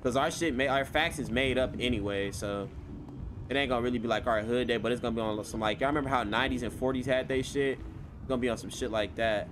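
Man, game streamer, brash street slang, heavy swearing, medium pitch, noisy game ambience. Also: quick pace. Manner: profane, brash street talk. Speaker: game streamer playing a gang member in roleplay. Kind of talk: casual slang-heavy in-game roleplay chat.

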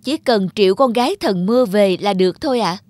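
A man playing a wind god who serves heaven, formal and forceful, in a cartoon fairy tale. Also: high voice, a little fast, no room sound, natural voice. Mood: deferential. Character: male wind god, deferential courtier, harsh enforcer. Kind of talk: animated fairy tale acting.

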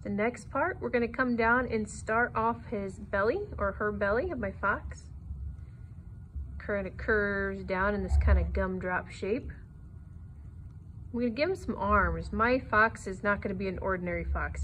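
A woman's voice: mid-range voice, a bit bright, rough recording. A woman with a mid-range voice teaches drawing one step at a time. She is encouraging and easygoing in how she instructs. There is faint room noise behind her voice. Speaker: art teacher.

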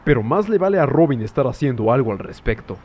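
A man playing a grim, sarcastic, foul-mouthed cartoon hero, husky and rough, curt and contemptuous. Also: medium pitch, big pitch swings, quick pace, lo-fi sound.

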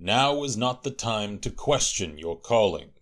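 A man's voice: lowish voice, lively intonation, measured pace, a bit bright. A man playing a stern, commanding warrior guardian of royalty in an audio drama, solemn and formal.

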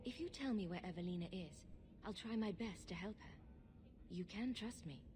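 A woman acts out a reassuring female adventurer in a video game. Her voice is mid-pitched and earnest, with a crowd audible behind it. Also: normal speed, natural voice.

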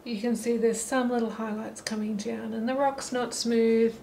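A woman with a slightly high, gravelly voice explains her watercolour steps as she paints, relaxed and unhurried. Her delivery stays quite even in pitch. A faint hum of noise sits under the camera-mic recording. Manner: relaxed, thinking aloud while painting. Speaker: watercolour teacher. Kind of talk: painting tutorial narration. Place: holiday apartment, at a table indoors. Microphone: camera mic above the table.

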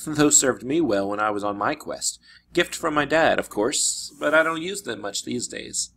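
Guy reading as a young storybook dude, friendly but awkward, casual and halting, bit of fry, pitch moves around. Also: expressive, a bit bright, slight background noise. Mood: wistful, awkward.

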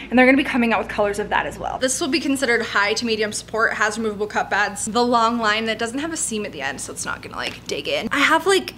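A woman's voice: on the high side, fairly bright tone, slight background noise. A young woman in her twenties chatting through a casual activewear try-on review, upbeat and full of "like" fillers and little laughs. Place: bedroom closet with stacked clothes shelves.

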